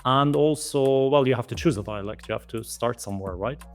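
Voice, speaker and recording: A man's voice: medium pitch, brisk. A man from southeast Germany, answering interview questions in a thoughtful, explanatory way as a guest. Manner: thoughtful, explanatory, lightly amused. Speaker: guest, language course author.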